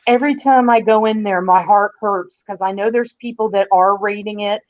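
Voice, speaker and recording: A woman's voice: mid-range voice, normal speed. A woman speaking casually as a guest commentator, over a muffled connection. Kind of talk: casual chat.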